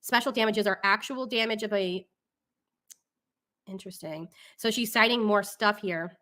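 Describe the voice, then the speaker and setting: A woman's voice: mid-range voice, moderate pace, pushed and bright. A woman hosting a casual live talk show, reading documents and commenting in an exasperated, opinionated way.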